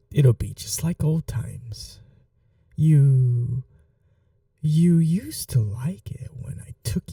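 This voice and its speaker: Woman as vampire mistress, cruel yet doting, very mellow, breathy, unhurried, coaxing, close and dry ASMR roleplay. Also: rumbling low, no echo, far from the mic, heavily acted. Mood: coaxing, playful. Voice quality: breathy, often whispering.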